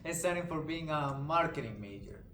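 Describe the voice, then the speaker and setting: Young male guest, deadpan humor, roomy sound with noticeable hiss. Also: a little high, fairly quick. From Colombia. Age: young adult. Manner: dry joking, short answers. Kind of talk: casual group chat. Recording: echoey room with clear background hiss.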